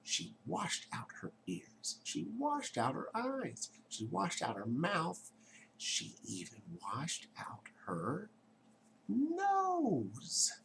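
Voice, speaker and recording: A man reading a children's story aloud in a theatrical way, dropping into hushed tones and chatting to his young listeners. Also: on the high side, huge pitch swings, drawn-out words, vocal fry, a little hiss or hum, rough recording.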